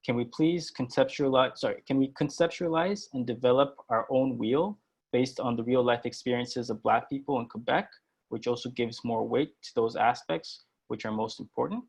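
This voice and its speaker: A man's voice: medium pitch, normal speed, gravelly, husky. A man presenting and moderating an online workshop, speaking hesitantly with many fillers and restarts.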